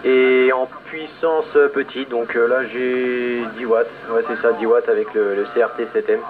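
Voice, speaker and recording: A man's voice: mid-range voice, flat delivery, a little slow, a crowd in the background. A man talking casually and technically as an operator over a two-way radio transmission. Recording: muffled radio signal buried in static.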